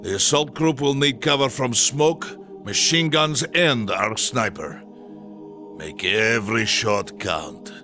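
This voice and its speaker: Commanding Soviet officer over music. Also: male voice, medium pitch, expressive, slow. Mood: grim, determined. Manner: commanding, emphatic, declamatory. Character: stern rousing Soviet army commander briefing his soldiers. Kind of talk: dramatic military briefing, video game cutscene. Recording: music behind the voice. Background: loud music.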